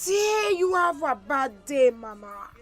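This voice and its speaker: A woman's voice: high voice, sing-song, takes it slowly, full character voice. An actress playing a worried, weary woman in a radio drama, with a Belizean Creole accent. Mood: gently reproachful. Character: worried, weary woman pleading with drunken friend. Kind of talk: radio drama acting in dialect. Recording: radio drama with faint music behind.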